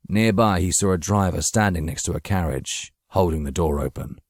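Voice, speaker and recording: A man's voice: sits low, normal speed, breathy edge, no echo, studio quality. A man narrating a dramatic story from a script as an audiobook reader.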